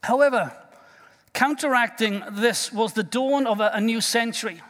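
A man's voice: very high voice, moderate pace, a bit bright, long reverb tail. A man with a Welsh accent, a native Welsh speaker, preaching a sermon as pastor in a conversational way, often restarting his words. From Wales. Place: church sanctuary.

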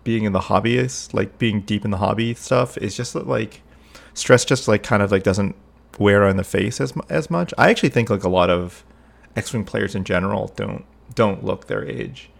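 A man's voice: on the low side, normal speed, creaky. A man hosting a podcast and chatting casually, talking loosely with lots of "like" and "um" fillers. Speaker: podcast host. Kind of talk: casual chat.

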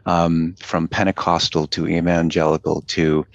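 Male guest, deep gravelly voice, dull dry remote audio, rambling. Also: little pitch movement, a little slow, no room sound. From United States. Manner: rambling, loose, with fillers and restarts. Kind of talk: casual conversational interview.

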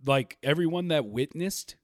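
A man's voice: fairly high pitch, no echo, clean studio sound. A man hosting a podcast, chatting with guests, injured and speaking from his bed. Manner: animated, laughing, then serious and measured. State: injured, bedridden. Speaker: podcast host. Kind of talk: casual podcast chat.

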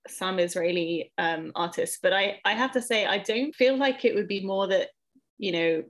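A woman's voice: medium pitch, normal speed. A young woman from England, a podcast guest, talking casually with many ums and self-corrections as she thinks aloud. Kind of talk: casual interview conversation.

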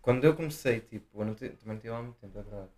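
A man's voice: fairly low pitch, racing, a little mellow, slight background noise. A young man with a central Portuguese accent, chatting casually as a podcast co-host, telling stories loosely and swearing freely. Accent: central Portuguese, Viseu. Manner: loose storytelling, acts out quoted people, swears freely. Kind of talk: casual chat.

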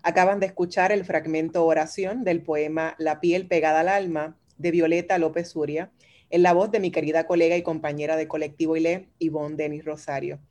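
A Puerto Rican woman hosts a radio interview in a relaxed, chatty way. Her voice sits low for a woman. She keeps checking in with her guest through tag questions. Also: fairly quick. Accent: Puerto Rican Spanish. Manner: warm, conversational, frequent tag questions. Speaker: radio host.

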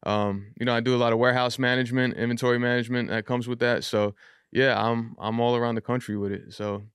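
Smooth-voiced man, relaxed and chatty. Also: medium pitch, fast, no echo, clean studio sound. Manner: loose, chatty, frequent fillers. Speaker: rapper, podcast guest. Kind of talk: casual interview talk.

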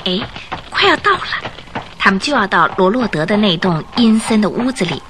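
A woman's voice: medium pitch, animated, normal speed, background noise. A woman narrating a radio drama as a scripted, dramatic storyteller. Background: music.

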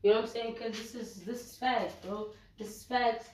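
A woman with a flu-roughened voice, talking casually to her viewers in a rambling vlog, full of slang and repeated fillers. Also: on the high side, steady tone, moderate pace, a little mellow, slight background noise. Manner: slangy, repeats fillers like "you know what I'm saying". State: hoarse from flu. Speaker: vlogger.

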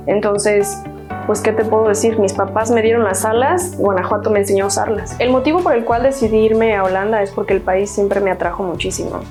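A young woman with a Mexican accent tells her own story in an earnest, chatty way, stumbling and restarting as she goes. Her voice sits at a middle pitch. Soft music plays faintly underneath. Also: fairly quick. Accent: Mexican Spanish. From Mexico, Hidalgo state. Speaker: university graduate interviewee. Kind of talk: personal testimony.

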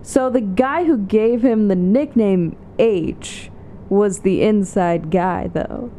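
Warm-voiced woman thinking aloud. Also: medium pitch, a little hiss or hum. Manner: thinking aloud, laughs often. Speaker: podcast co-host. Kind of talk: casual film discussion.

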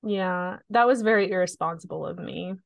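A woman's voice: lowish voice, normal speed, vocal fry, no echo, studio quality. A woman co-hosting a podcast in casual, informal chat, a bit sniffly. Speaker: podcast co-host.

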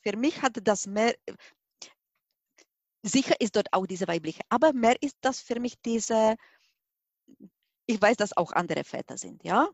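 A middle-aged woman from the Czech Republic speaks with a Czech accent in a fairly high voice. She tells her own story in an interview, halting to find words and then stressing them. The sound is dry, with hardly any room on it. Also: expressive, gravelly, dry recording. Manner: halting, searching for words, emphatic. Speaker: interview guest, hypnosis and regression therapist. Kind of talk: spontaneous personal account in an interview.

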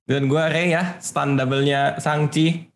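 A man's voice: fairly high pitch, steady tone, takes it slowly. A man with an Indonesian accent trading casual comic banter on a panel, playful and mock-evasive. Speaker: podcast panelist.

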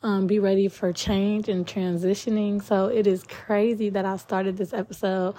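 Raspy young woman hosting a podcast. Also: mid-range voice, level tone, moderate pace, mellow. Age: 20s. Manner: chatty, rambling, many ums. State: just woke up from a nap. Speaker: podcast host. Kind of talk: casual solo podcast monologue.

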